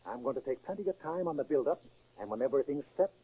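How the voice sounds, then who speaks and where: An actor playing a confident, self-assured safecracker boss in a 1930s radio drama. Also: male voice, medium pitch, animated, warm tone, slightly rough. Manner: brisk, self-assured, emphatic. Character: cocky, confident male safecracking gang boss. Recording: muffled 1930s radio recording.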